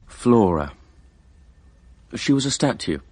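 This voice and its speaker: A man's voice: mid-range voice, big pitch swings, takes it slowly, a little mellow, no room sound. An actor playing a squeamish, sardonic young English son who narrates his own story in a radio drama, in a dry, understated way. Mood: brooding, reflective. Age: young adult. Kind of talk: radio drama first-person narration and dialogue.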